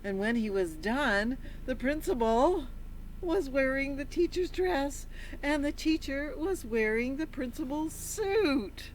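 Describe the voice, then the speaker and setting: A woman reading a picture book aloud to children in an animated storytelling style, pausing to put questions to the listeners. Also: high voice, a little hiss or hum, low-quality recording. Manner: interactive, prompts listeners with questions. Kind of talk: animated read-aloud with asides to young listeners.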